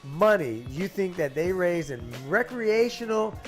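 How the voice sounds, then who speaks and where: A man's voice: high-pitched, measured pace, soft background music. A man hosting a casual podcast, talking in a loose, profane way and reading numbers out to his cohosts. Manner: animated, profane, reads stats to cohosts. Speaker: podcast host. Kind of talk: casual chat.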